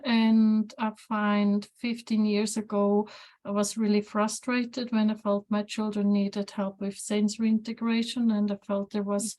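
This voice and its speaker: A woman's voice: on the high side, darkish tone. A woman in her forties from Switzerland with a Swiss accent, earnestly describing her therapy work on a video call, searching for words. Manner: earnest, searching for words, slightly non-native phrasing. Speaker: guest therapist. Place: home room with shelves, indoors. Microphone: laptop webcam on video call.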